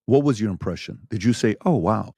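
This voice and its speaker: A man's voice: lowish voice, expressive, brisk, vocal fry, dark tone, husky. A man hosting a podcast interview, asking curious, leading questions. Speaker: podcast host.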